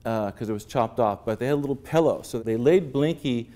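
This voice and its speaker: Man in interview clip, warm dark tone, kinda halting. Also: mid-range voice. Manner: hesitant, repeats words, uses "like". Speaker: interviewed artist.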